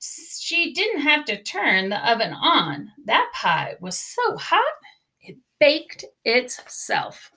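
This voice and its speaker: A woman reading a children's storybook aloud in an expressive, emphatic way. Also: on the high side, slow, low-quality recording. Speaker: storybook reader. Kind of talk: children's read-aloud narration.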